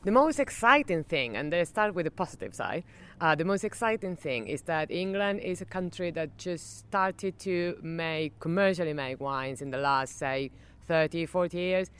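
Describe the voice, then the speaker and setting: A middle-aged woman from Argentina whose first language is Spanish, answering interview questions in English with an Argentine accent, pausing often with fillers and restarts. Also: lowish voice, lively intonation, clear, resonant tone. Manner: halting, with many fillers and restarts. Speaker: wine estate general manager. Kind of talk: conversational interview. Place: outdoor garden.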